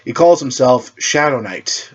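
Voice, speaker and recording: A man voicing an assured, cryptic leader of a superhero team, speaking in a measured, formal way. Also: mid-range voice, animated, husky, lo-fi sound.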